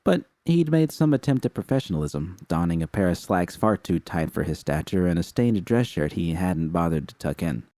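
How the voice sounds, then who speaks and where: A man narrating a first-person fan story in a steady, unhurried reading. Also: deep voice, dry recording. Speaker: fan fiction reader.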